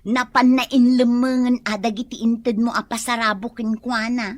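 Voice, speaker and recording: A woman playing an excitable, fussy provincial woman in an Ilocano comedy radio drama, with an Ilocano accent and exclamatory delivery. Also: on the high side, moderate pace, dry recording, lightly acted. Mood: chatty. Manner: exclamatory, full of sighing "ay".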